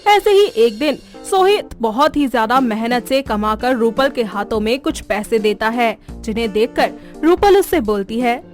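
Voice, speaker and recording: Female storyteller, high register, scripted cartoon narration over faint music. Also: animated, normal speed, hardly any pauses.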